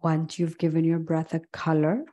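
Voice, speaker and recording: A woman yoga instructor leading a guided meditation in a calm, gentle, unhurried way with long pauses. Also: low voice.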